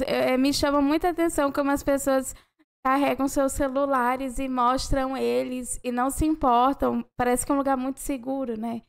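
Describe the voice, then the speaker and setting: A Brazilian woman with a Brazilian Portuguese accent, giving slow, thoughtful reaction commentary. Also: high voice.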